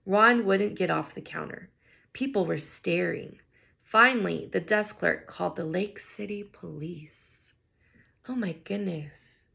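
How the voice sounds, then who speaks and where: A woman reading a picture book aloud to children in an expressive way, pausing to think out loud in wondering asides. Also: on the low side, creaky. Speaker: read-aloud teacher.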